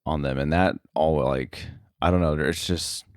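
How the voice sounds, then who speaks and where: A man with a deep voice gives casual interview answers in a dry recording. He talks haltingly, hedging and working out his point as he goes. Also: steady tone, no room sound. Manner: halting, hedging, thinking aloud. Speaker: podcast guest, sound editor.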